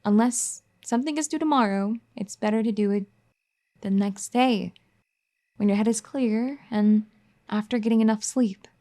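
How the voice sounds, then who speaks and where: A woman voicing a caring, firm young wife in an ASMR roleplay, soft-spoken and gently scolding. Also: medium pitch, normal speed, natural voice.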